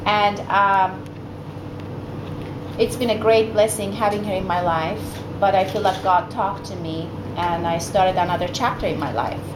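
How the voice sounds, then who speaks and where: A woman's voice: medium pitch, steady tone, measured pace. A middle-aged woman from Iran, a therapist, giving an earnest personal talk to a group of mothers, with many fillers and restarts. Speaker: guest speaker, therapist. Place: meeting room, indoors. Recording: echoey room with background noise.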